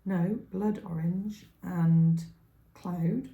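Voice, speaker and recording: A woman co-hosting a podcast in easygoing casual chat, her voice croaky today. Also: fairly low pitch, fairly even intonation, phone-quality sound. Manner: easygoing, chatty, slow when describing. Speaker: podcast co-host. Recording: roomy echo.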